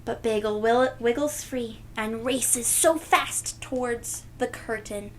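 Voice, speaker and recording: A woman reading a picture book aloud to young children, expressive and stopping to explain things simply. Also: on the high side, slow. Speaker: read-aloud storyteller.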